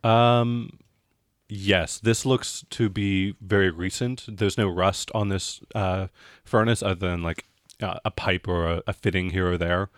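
A man with a fairly low voice works as game master in a tabletop role-playing game. He builds his descriptions haltingly as he goes, in a dry, close recording. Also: fairly even intonation, no room sound.

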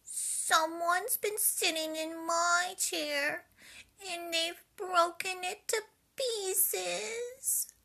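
A woman voicing a small whiny bear cub in a storybook reading, high, loud and drawn out. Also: very slow, gravelly, heavily acted. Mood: upset.

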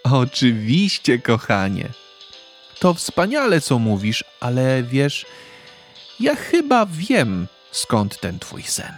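A man voicing a gentle, loving grandmother in a children's story read aloud. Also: mid-range voice, huge pitch swings, slow pace, soft background music, voice pushed up. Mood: tender. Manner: soft and tender.

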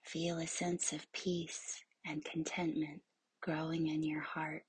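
A woman guides a meditation as a yoga nidra instructor. Her voice is very husky and breathy, often close to a whisper. She speaks slowly and evenly, soothing and hushed, leaving long pauses. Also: deep voice, level tone, no echo.

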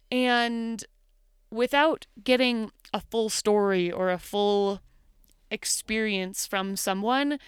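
A woman's voice: fairly high pitch, smooth, no room sound, studio quality. Candid laughing woman podcaster. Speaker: podcast co-host. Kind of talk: casual chat.

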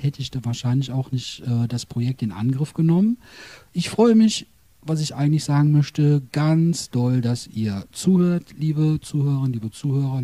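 Chatty man hosting with a husky, dark voice. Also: mid-range voice. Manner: chatty, rambling, joking. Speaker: podcast host. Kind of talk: casual podcast interview. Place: a guest's apartment.

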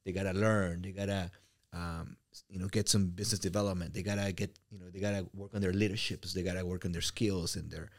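A man from Mexico with a Mexican Spanish accent, an immigrant business owner telling stories as a podcast guest, animated, repeating words and using many fillers. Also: low voice, fast. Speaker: real estate brokerage owner, guest. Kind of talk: casual podcast interview.

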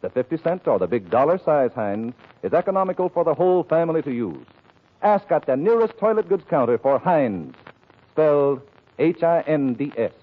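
A man's voice: on the high side, sing-song, normal speed. A male announcer reading an advertisement in an old-time radio style. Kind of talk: vintage radio advertising read. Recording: tinny, band-limited old radio recording.

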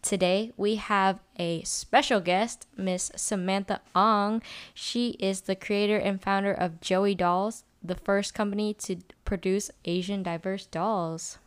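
A woman's voice: medium pitch, fairly even intonation, slow, clean tone. A woman hosting a podcast in a casual, conversational style. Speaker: podcast host.

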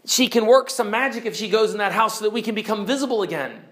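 A foolish, blustering creature from a storybook, put on by a man telling the tale. The voice sits high and pushes out its demands with emphasis. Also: fast, fairly bright tone. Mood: blustering, demanding.

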